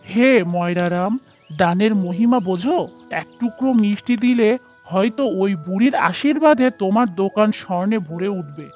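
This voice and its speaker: A woman's voice: medium pitch, lively intonation, moderate pace, faint music behind, lightly acted. Woman doing solemn preachy holy man in a cartoon, mid voice, dull audio. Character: solemn, kindly male wandering holy man. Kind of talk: cartoon story acting.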